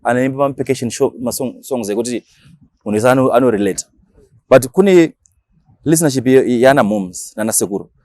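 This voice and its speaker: A Shona-speaking man with a Zimbabwean accent, talking casually as an interview guest and explaining things in animated, slangy language with acted-out examples. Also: medium pitch, brisk, no room sound, studio quality. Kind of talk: casual interview talk mixing Shona and English.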